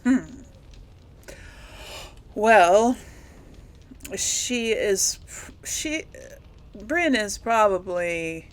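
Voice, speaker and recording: A woman's voice: mid-range voice, lively intonation, very slow, pauses often. A woman hosting a casual role-play let's play, thinking aloud in a hesitant, amused way and speaking her character's replies. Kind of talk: casual game commentary, role-playing dialogue aloud.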